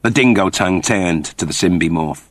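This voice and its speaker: A man's voice: deep voice, moderate pace, no echo. A man narrating a scripted story in a dramatic storytelling style. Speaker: audiobook narrator.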